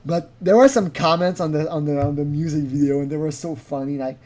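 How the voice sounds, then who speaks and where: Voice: medium pitch, a little fast, darkish tone. A person giving informal, rambling commentary on a recording, often laughing through sentences and acting out mocking quotes. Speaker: video commentator.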